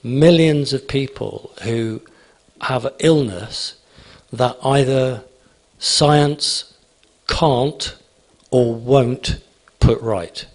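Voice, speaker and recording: A man's voice: mid-range voice, drawn-out words. A man speaking at a conference, emphatic and repetitive, answering audience questions. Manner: emphatic, repeats phrases, asks "okay?".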